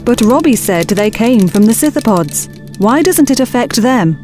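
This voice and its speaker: A woman's voice: on the high side, animated, normal speed, mellow, natural voice. A woman playing a practical, skeptical starship officer. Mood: firm, skeptical. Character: skeptical, practical female spaceship expedition officer. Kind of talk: scripted science fiction audio drama acting. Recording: music bed under the dialogue.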